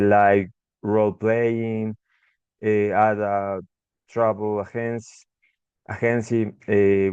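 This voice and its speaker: Slow halting Ecuadorian man. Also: lowish voice, a little mellow, clear, resonant tone, dry recording, dull audio. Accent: Ecuadorian Spanish. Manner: halting, frequent restarts and fillers. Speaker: English tutor. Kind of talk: short class presentation.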